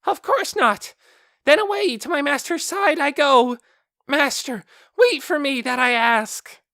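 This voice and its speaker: High-pitched, eager magical beast woman. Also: lively intonation, unhurried, bright, forceful tone, no echo, clean studio sound, full character voice. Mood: eager, exclaiming. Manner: quaint archaic turns of phrase, exclaiming. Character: dignified, eager, robust female magical beast, devoted to her master.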